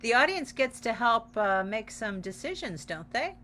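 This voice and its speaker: A woman with a bright, forward voice co-hosts a casual online talk-back. She sounds welcoming and emphatic as she promotes events, with faint noise on the video-call audio. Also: a little high, big pitch swings, normal speed. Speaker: theater company representative, co-host.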